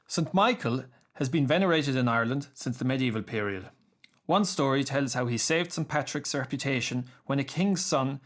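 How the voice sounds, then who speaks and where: Male narrator for a scripted radio documentary. Mid-pitched, measured, precise diction. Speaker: narrator reading a radio series.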